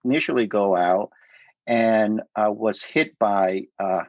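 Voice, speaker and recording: A middle-aged man from Vietnam whose first language is Cantonese, telling his life story in a casual interview, halting with many fillers and restarts. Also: lowish voice, no room sound, dull audio. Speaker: guest.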